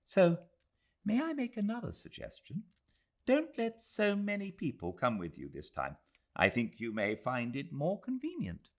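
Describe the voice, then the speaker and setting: Guy doing a sweet tired old english lady, lifted high voice, soft manners, audiobook reading. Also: huge pitch swings, unhurried, heavily acted. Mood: tactful suggestion. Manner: gentle, polite.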